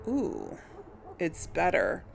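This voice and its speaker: A woman's voice: on the low side, wildly swooping pitch, drawn-out words, creaky, a little hiss or hum. A woman giving casual gameplay commentary as a host, hesitantly thinking aloud. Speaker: host of a game role-play series.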